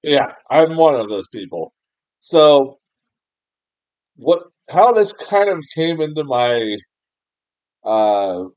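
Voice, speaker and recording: A young man from the States rambles through a film review, tripping over his words and laughing at his own mistakes. The sound is dull and muffled, with a dry, close feel and no room around it. His voice sits at an ordinary middle pitch. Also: a little mellow, no echo. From United States. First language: English. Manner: rambling, self-deprecating, frequent fillers and restarts, laughs often, mild swearing. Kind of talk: casual spoken film review.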